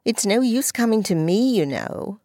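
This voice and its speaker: A woman's voice: on the high side, animated, moderate pace, warm tone, dry recording, clean studio sound, pitched above the performer's own voice. A woman reading the lines of a stuffy, pompous older man stuck as a schoolboy, sententious and stiff. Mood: dismissive. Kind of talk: audiobook character voice.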